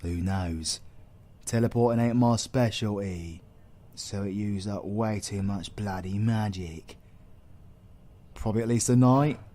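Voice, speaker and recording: A man's voice: on the low side, warm tone, natural voice. A British man playing a cocky, foul-mouthed supervillain in an audio roleplay, crude, teasing and sarcastic. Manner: crude, teasing, sarcastic, heavy slangy swearing. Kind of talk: audio roleplay acting addressed to the listener.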